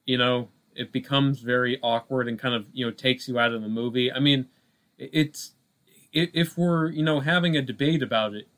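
Male podcast co-host, mid-pitched, casual and conversational, halting delivery full of verbal tics. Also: fairly even intonation, a bit bright. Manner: halting, heavy use of "you know" and "like". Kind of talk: casual film discussion.

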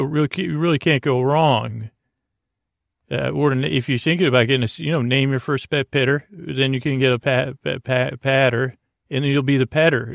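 A man hosting a bedtime podcast in a creaky voice, with a halting, meandering monologue that repeats itself and drifts into whimsical asides. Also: mid-range voice, no echo, studio quality. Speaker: podcast host.

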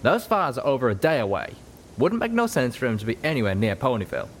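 A man's voice: mid-range voice, sing-song, fast, slight background noise, voice pushed up. A man voicing a brash male pegasus who talks in a blunt, folksy drawl, in an audiobook. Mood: matter-of-fact.

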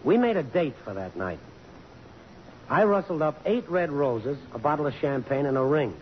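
An actor playing a wry, persistent photographer and jilted suitor, with an American accent, in mid-century radio drama style. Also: male voice, fairly high pitch, big pitch swings, normal speed. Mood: wry, wistful. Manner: wry, sardonic. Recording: dull, noisy old radio broadcast recording.

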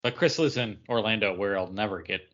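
A man co-hosting a podcast in relaxed, informal conversation. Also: fairly low pitch, fairly quick, muffled recording. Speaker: podcast co-host. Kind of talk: casual chat.

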